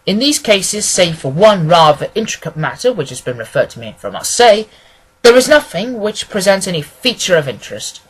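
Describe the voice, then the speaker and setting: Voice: medium pitch, big pitch swings, a little fast, fairly bright tone, breathy edge, low-quality recording. A reader voicing a confident, analytical male detective in an audiobook, speaking with assured precision. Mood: confident, musing. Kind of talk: acted audiobook dialogue.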